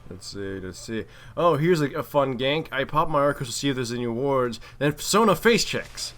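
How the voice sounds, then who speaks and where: A man's voice: medium pitch, lively intonation, moderate pace, a bit bright. A man giving casual, wry game commentary with some mild swearing and trash talk. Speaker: gaming video commentator and player. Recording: clearly noisy home recording.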